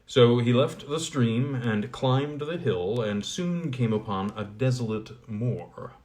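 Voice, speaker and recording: A man's voice: mid-range voice, animated, darkish tone, poor audio. A man who reads a fairy tale aloud, chatting casually between chapters. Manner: chatty with fillers in recap, measured when reading. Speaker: reader.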